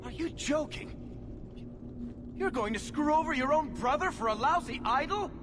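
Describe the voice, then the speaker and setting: An actor playing a betrayed, indignant dwarf brother in a video game, calling out in outrage. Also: male voice, very high voice, expressive, normal speed, noisy background. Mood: outraged. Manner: indignant, shouting.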